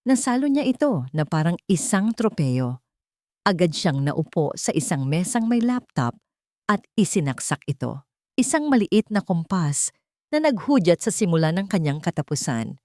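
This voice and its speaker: Low-pitched woman narrating a dramatic story. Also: very animated, normal speed, darkish tone, no room sound, clean studio sound. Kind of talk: scripted dramatic first-person story narration.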